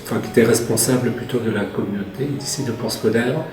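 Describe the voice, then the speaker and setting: A man's voice: mid-range voice, steady tone, fast, noisy background. An older retired man giving a plain, somewhat hesitant personal testimony about lay association with a congregation. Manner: plain, hesitant, restarts phrases. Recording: roomy with faint music behind.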